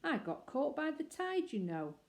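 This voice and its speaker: Lady doing a little bear, innocent and earnest, higher voice, a bit louder. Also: big pitch swings, moderate pace. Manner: innocent, truthful and matter-of-fact. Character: small polite earnest young male bear.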